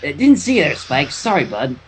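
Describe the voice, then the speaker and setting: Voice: mid-range voice, big pitch swings, brisk, slight background noise, natural voice. A reader voicing a brash, teasing young pegasus mare in a fan fiction reading, with slangy, blunt, casual delivery. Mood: breezy.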